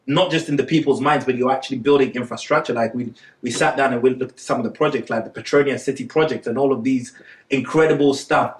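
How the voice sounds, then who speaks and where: A male podcast host talks in a loose, enthusiastic interview style and swears offhandedly. His voice is middle-pitched for a man. It echoes around the room he is recorded in. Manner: enthusiastic, laughs along, swears casually. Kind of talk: casual interview chat.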